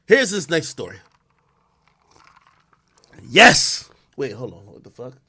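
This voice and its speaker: A man streaming a live reaction, talking in an animated, profane, loud way with bursts of laughter. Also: a little high, slow, husky.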